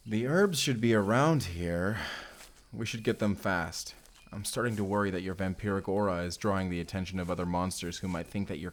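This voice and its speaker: A man's voice: sits low, natural voice. A man playing a weary, guarded vampire hunter in an intimate audio roleplay, speaking in an understated, confiding way. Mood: wary, instructive. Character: weary young male vampire hunter, guarded, self-doubting guide.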